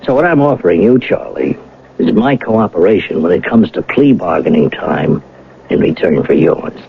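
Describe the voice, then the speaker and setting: An actor playing a shrewd, sardonic police detective sergeant, needling with mock friendliness and deliberate pauses in an old-time radio drama. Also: male voice, sits low, expressive, vocal fry, noisy background. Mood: shrewd, pressing. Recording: tinny, narrow vintage radio broadcast.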